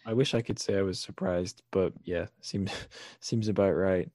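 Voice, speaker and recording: A man with a low-pitched voice hosts a casual podcast interview. He chats and frames his questions informally, and the sound is dry, with no room around it. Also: fairly even intonation, moderate pace, a little mellow, no room sound. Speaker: podcast host.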